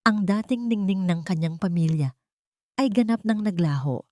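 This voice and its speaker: A woman narrating a scripted dramatic love story in short, deliberate phrases. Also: medium pitch, lively intonation, a little mellow, dry recording, clean studio sound.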